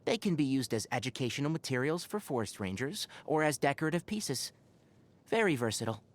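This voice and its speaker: Man voicing an expressive, articulate young forest ranger scholar. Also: mid-range voice, a little fast, lightly acted. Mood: gentle, reflective. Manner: articulate, thoughtful, dryly opinionated. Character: young male forest ranger scholar with animal ears, earnest, wry, caring. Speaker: video game character voice. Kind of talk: scripted game character monologue.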